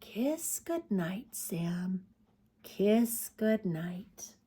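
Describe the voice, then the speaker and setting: A woman voicing a gentle, patient mother bear in a bedtime storybook, coaxing in drawn-out words. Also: medium pitch, sing-song, a little hiss or hum. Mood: tender, hushed. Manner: coaxing, slow, drawn-out delivery. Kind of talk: children's storybook read-aloud.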